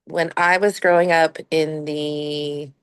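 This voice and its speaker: Low-voiced woman in her fifties. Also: steady tone, slow, clear, resonant tone, dry recording. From Southern California. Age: 50s. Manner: rambling, laughs between thoughts. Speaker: panel guest. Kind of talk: casual personal story.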